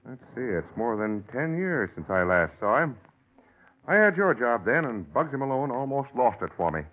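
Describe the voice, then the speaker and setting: Through a tinny old radio recording comes an actor as a grave middle-aged male district attorney. His voice moves widely in pitch, with the weight of a boss under threat in a vintage radio drama. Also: big pitch swings, normal speed, lightly acted. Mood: grave, reflective. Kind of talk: 1940s radio acting.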